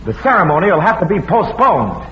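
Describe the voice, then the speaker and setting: A man playing a resentful, hot-tempered young farmer's son in an old radio drama, forceful and accusing. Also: high-pitched, sing-song, fairly quick. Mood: threatening. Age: young adult. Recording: muffled, noisy vintage radio recording.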